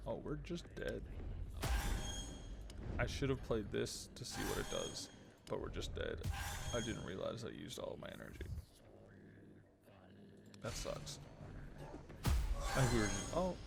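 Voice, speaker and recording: A man streaming a game, giving casual live commentary as he thinks aloud in short, low-key, musing fragments. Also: very animated, unhurried, vocal fry, long pauses, over loud music.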